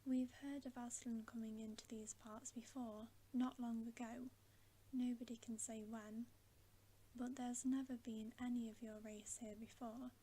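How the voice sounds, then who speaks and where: Woman as stern male beaver, storybook guide, slow emphatic whisper, mellow, faint hiss. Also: on the high side, level tone, close to an everyday voice. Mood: earnest, solemn. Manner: emphatic, earnest, hushed. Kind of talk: whispered storybook character reading.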